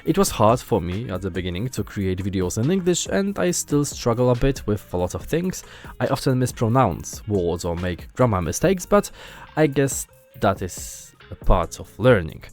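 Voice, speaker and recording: Earnest Polish man with lively intonation. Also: fairly low pitch, moderate pace, faint music behind. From Poland. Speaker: gaming channel host. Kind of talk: scripted video narration.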